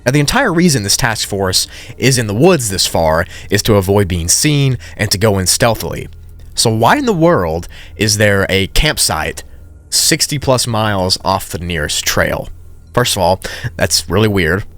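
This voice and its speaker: A man's voice: fairly low pitch, very animated, moderate pace, fairly bright tone. A man narrating a story recap in a casual, conversational way with informal asides.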